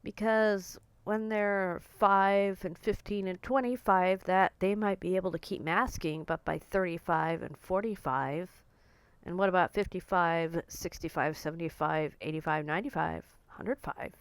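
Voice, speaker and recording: A woman in her forties talking casually to camera in a blunt, outspoken way, her voice a little croaky and hoarse. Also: on the low side, steady tone, unhurried, no room sound. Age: 40s. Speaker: vlogger.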